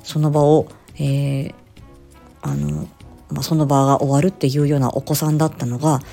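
A woman speech therapist telling stories in a casual, expressive solo chat, acting out short quotes as she explains. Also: low-pitched, normal speed, soft background music. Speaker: speech-language therapist hosting an audio diary.

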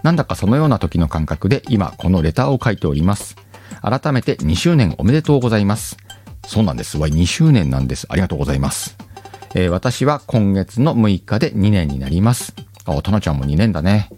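A man's voice: low voice, very animated, fast talker, warm tone. A man hosting a casual solo talk show, reading listener letters aloud in a chatty, self-mocking way with many fillers. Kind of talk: casual solo radio-style chat. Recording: faint background music.

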